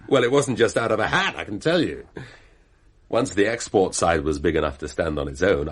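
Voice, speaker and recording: A man's voice: deep voice, slightly rough. An actor playing a cynical middle-aged British husband and businessman in a radio play, mocking and insinuating in manner. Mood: smug, needling. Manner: mocking, insinuating, drawling rhetorical questions.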